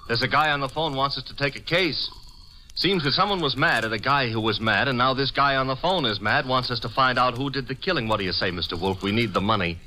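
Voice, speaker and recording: Brash young detective sidekick, sharp bright voice, wisecracking patter, 1940s radio, noisy clicking recording. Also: male voice, mid-range voice, fast talker. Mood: pleading, cheeky. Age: young adult. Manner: slangy, breezy patter. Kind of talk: 1940s radio drama acting.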